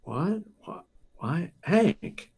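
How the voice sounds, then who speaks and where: An actor playing a frantic, halting test pilot pleading to warn of doom in a sci-fi radio drama. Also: male voice, high voice, sing-song, creaky, a little mellow, husky, full character voice. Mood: bewildered. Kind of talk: live performance of 1950s sci-fi radio drama.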